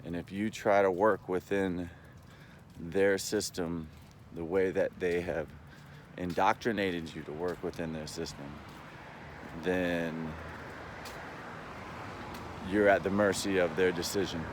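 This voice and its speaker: A man giving a rambling, casual monologue as a vlogger, explaining in a halting way and checking in as he goes. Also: low-pitched, steady tone, slight background noise.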